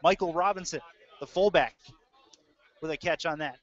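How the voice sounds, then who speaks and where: A man's voice: on the high side, moderate pace. A man doing live play-by-play football commentary, mixing clipped present-tense calls with casual asides. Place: indoor audio-only webcast. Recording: dull lo-fi audio.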